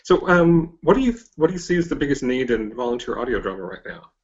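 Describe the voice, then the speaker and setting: Male podcast-style interviewer, mid-pitched, conversational and tentative, muffled lo-fi audio. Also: big pitch swings, fast talker. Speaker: interviewer, host. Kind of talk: casual interview talk.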